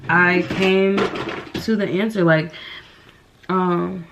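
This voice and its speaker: A woman's voice: fairly low pitch, fairly even intonation, takes it slowly, vocal fry, background noise. A young woman, a bit nasal from the flu, chatting in a casual get-ready-with-me vlog at home and rambling with lots of fillers. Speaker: beauty vlogger. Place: indoors at home, getting ready.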